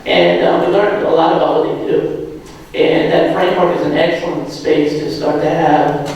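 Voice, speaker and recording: A slightly hoarse man from Providence gives a public talk, joking at his own expense between many fillers. His voice sits high for a man. He sounds distant, with a long, roomy hall reverb around him. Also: steady tone, moderate pace, a little mellow, a little hiss or hum. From Providence, Rhode Island. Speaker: guest speaker. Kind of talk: public lecture. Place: large hall or auditorium. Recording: echoey hall, mic from far off.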